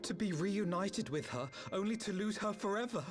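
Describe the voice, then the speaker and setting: A man's voice: high-pitched, lively intonation, moderate pace. An actor playing an earnest young nobleman, formal and eloquent, with a grieving weight to his words. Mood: anguished. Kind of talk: video game voice acting, dramatic dialogue. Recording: game music under the voice.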